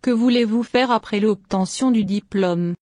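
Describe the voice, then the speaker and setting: A woman reading short French questions for a language lesson in a clear, scripted way. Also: medium pitch, normal speed, darkish tone, no room sound. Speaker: French dialogue reader.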